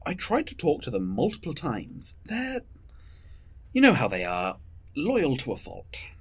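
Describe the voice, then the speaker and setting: A stressed, pragmatic leader played by an actor who insists on control in an audio drama. The voice is husky and the intonation swings very widely. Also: mid-range voice, wildly swooping pitch, measured pace, exaggerated voice. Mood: stressed, weary.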